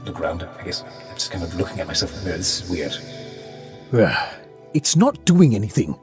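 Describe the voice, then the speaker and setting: A man's voice: sits low, big pitch swings, fairly quick, music in the background. A man playing an earnest, bumbling dragonborn adventurer in a comedic fantasy audio drama, chatty and awkwardly courteous. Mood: puzzled, flustered.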